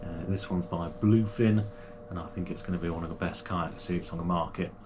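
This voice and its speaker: A man chats his way through an unboxing at home. His voice sits a little low, and he talks with plenty of fillers and restarts. Background noise is clearly audible in the room. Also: steady tone, fairly quick. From United Kingdom. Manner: chatty and enthusiastic, with frequent fillers and restarts. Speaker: kayaking channel host. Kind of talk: casual product unboxing. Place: small home gaming room, indoors.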